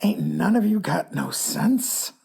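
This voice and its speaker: A possessed girl with an old crone's voice, slow, gravelly, threatening. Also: fairly low pitch, expressive, mellow, pitched below the performer's own voice, full character voice. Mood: menacing. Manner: menacing, slow and hissing. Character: possessed girl speaking as a spiteful old woman.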